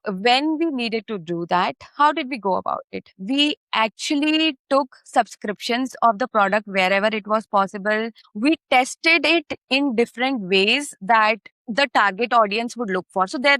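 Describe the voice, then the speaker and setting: A woman's voice: a little high, pushed and bright, no room sound, low-quality recording. A woman guest on a podcast who explains her work through anecdotes and often checks in with her listener. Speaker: podcast guest, content marketer. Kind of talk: podcast interview.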